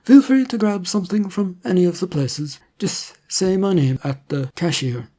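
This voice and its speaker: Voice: medium pitch, moderate pace, mellow, pitched above the performer's own voice, natural voice. A narrator voicing a businesslike male government agent who explains things formally in a story. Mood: offhand.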